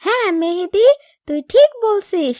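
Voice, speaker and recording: A woman's voice: very high voice, lively intonation, unhurried, mellow, dry recording, clean studio sound. A woman voicing an earnest, kind-hearted young boy in a cartoon, childlike and emphatic, with a Bangladeshi accent. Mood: eager. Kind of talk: Bengali cartoon dubbing.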